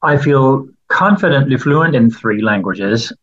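A man's voice: medium pitch, expressive, a little slow, a bit bright, lo-fi sound. A Danish man, a native Danish speaker, answering interview questions about the Bible as a translator, thoughtful and questioning with frequent fillers. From Denmark.

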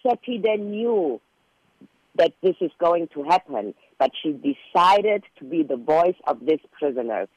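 A man whose first language is Persian, speaking earnestly and in measured phrases as an interview guest making a prepared statement. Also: a little high, animated, breathy edge, dry recording, dull audio. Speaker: guest, relative of a political prisoner.

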